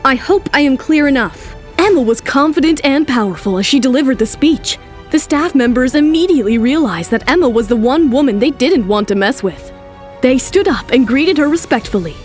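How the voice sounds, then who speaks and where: A woman's voice: sits high, expressive, fairly quick, darkish tone, faint music behind. A woman narrator giving a scripted, dramatic telling of a story.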